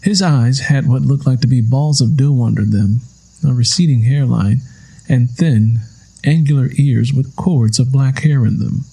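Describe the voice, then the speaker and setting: A man's voice: mid-range voice, unhurried, creaky, mellow, slightly rough, rough recording. A man reading a story aloud as scripted audiobook narration.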